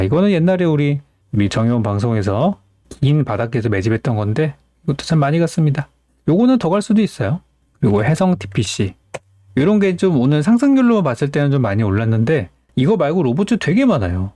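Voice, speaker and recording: Animated male stock market commentator, mellow tone. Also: medium pitch, dry recording. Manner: conversational, opinionated, asks rhetorical questions. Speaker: stock market analyst hosting a daily show. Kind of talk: informal market commentary to viewers.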